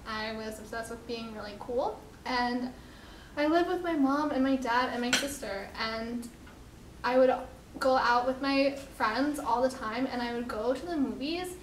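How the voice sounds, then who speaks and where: High-voiced naive teenage girl, played by a woman. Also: a bit bright. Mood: casual, chatty. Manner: hesitant teen talk, full of "like". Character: naive, self-absorbed teenage girl obsessed with being cool. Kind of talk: casual rambling monologue in amateur dramatization. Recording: echoey room, faint background noise.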